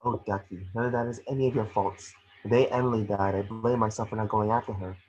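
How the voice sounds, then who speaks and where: A man reading the part of a gentle, remorseful witch ghost mentor in an amateur table read, earnest and measured. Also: fairly low pitch, steady tone, highs cut off, soft background music, natural voice.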